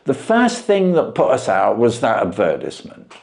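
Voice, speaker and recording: Man acting an earnest, lively Victorian London shopkeeper. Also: medium pitch, normal speed, vocal fry. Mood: earnest, plaintive. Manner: wordy, respectful storytelling. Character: stay-at-home London pawnbroker, earnest, long-winded widower.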